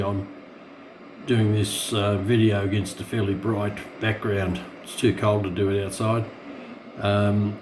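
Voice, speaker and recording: An Australian man giving a casual, unscripted walkthrough as a hobbyist presenter, hesitant and pausing often. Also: lowish voice, darkish tone, a little hiss or hum, low-quality recording. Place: indoors.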